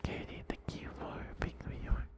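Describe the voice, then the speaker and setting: Guy doing asmr on stream, whispery and soft, right up on the mic, repeating affirmations slowly. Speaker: ASMR livestreamer. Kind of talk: ASMR, intimate rambling to viewers. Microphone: close ASMR microphone.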